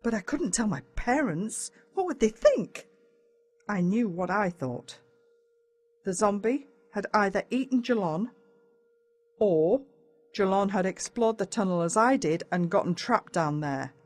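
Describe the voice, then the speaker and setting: Animated Australian woman narrating a story. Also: mid-range voice, a little slow. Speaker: audiobook narrator. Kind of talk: expressive children's story narration in first person.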